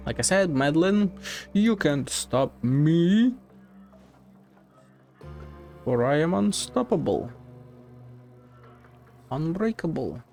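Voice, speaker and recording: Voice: medium pitch, sing-song, slow pace, pauses often, a little mellow, soft background music. A streamer giving casual gameplay commentary, playful and sweary, mostly muttering to themself. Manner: playful, sweary, talking to self. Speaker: game streamer.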